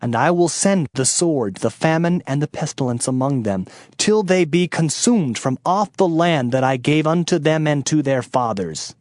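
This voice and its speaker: A man's voice: a little high, expressive, normal speed, hardly any pauses, darkish tone, no echo, clean studio sound, close to an everyday voice. A man playing a solemn Hebrew prophet in a dramatized scripture reading, declamatory and emphatic. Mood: grave, denouncing.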